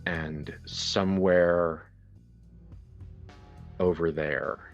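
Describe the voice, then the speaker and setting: A man runs a horror tabletop game as its theatrical narrator. He speaks extremely slowly and deliberately, leaving long pauses, in a very deep voice. The sound is slightly dull and lo-fi. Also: fairly even intonation, soft background music. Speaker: game master.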